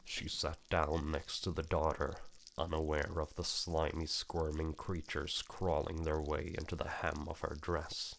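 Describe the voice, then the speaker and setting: A man reading as a grim, ominous horror visual-novel narrator, deliberate and brooding. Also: extremely low pitch, flat delivery, vocal fry, fairly bright tone, slight background noise, exaggerated voice.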